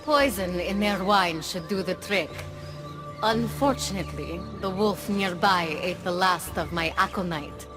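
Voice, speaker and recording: A woman's voice: fairly low pitch, unhurried, natural voice. Actress as a cool, sly female conspirator over music. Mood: dry, scheming. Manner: dry, condescending, controlled. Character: cool, sly woman plotting poisonings as a rebel ally. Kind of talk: video game cutscene acting. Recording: music behind the voice.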